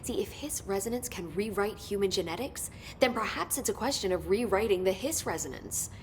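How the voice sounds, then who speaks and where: Actress as earnest brainy woman scientist, precise, keen, faint rumbling noise. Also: animated, a little fast, close to an everyday voice. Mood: keen, excited. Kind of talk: naturalistic video game acting, technical explanation.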